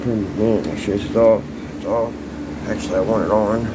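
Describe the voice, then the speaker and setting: A man's voice: on the low side, steady tone, a little fast. A man giving a casual hands-on demonstration to camera as a hobbyist, talking in an informal, folksy way and thinking aloud as he works. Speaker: hobbyist showing restored vintage radios. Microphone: handheld camera, sometimes set down farther away. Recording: loud background hum and ambient noise.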